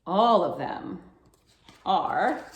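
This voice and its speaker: Female storytime reader, unhurried, animated pitch, coaxing questions, echoey room. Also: muffled recording. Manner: coaxing questions to young listeners. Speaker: children's library storytime reader. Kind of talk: expressive read-aloud for children.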